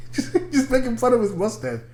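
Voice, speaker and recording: A man's voice: very high-pitched, very animated, normal speed, darkish tone. A young man chatting on a podcast, telling rambling, crude, teasing stories with lots of stammered restarts. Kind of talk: casual chat. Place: room in Fresno. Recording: roomy echo, faint background hum.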